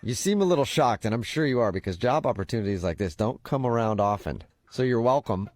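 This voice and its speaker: A man's voice: mid-range voice, expressive, fast talker, darkish tone, no room sound, lightly acted. A man playing an upbeat, pushy temp agency recruiter on a prank phone call, talking casually and keeping a straight face. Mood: breezy, pushy. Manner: casual, breezy, straight-faced salesman patter. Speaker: radio prank caller.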